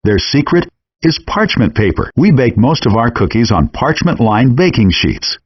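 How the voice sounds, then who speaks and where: A man's voice: mid-range voice, sing-song, moderate pace, slightly rough. A man voicing a chef guide in a cooking game, explaining techniques step by step in scripted instructional narration. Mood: instructive, matter-of-fact. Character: male chef guide giving practical kitchen tips. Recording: dull lo-fi game audio.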